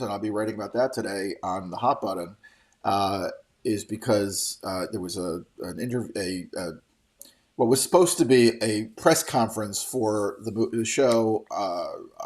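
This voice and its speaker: A man's voice: medium pitch, darkish tone. A middle-aged man hosting a show, giving off-the-cuff solo commentary in a rambling, opinionated, casually profane way. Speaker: host of a daily show commenting on entertainment trade news.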